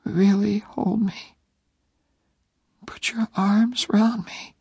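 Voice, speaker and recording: A man voicing a dying young woman who speaks in a weak, breathy, teasing whisper. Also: high voice, level tone, drawn-out words, a little mellow, no room sound, pitched above the performer's own voice, heavily acted. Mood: fragile.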